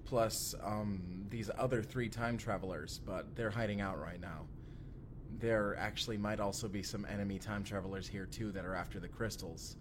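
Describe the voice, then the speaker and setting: Male actor as sincere young time traveler, lowish voice, confiding and casual, sci-fi audio drama. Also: fairly even intonation, normal speed, noisy background, lightly acted. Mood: cautious, confiding. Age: young adult. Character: earnest, secretive young man from the future named Benji. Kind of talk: immersive audio drama roleplay acting.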